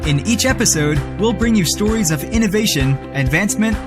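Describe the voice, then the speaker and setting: A man's voice: high-pitched, expressive, fairly quick. A man reading a polished, scripted podcast intro in announcer style. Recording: clear music bed behind.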